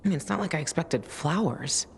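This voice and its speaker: A woman's voice: low voice, lively intonation, brisk, vocal fry, a little hiss or hum. An actress playing a tough, sarcastic young woman survivor in a video game, dry in banter and frantic when calling out. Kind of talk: video game cutscene acting. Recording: game audio with loud ambience.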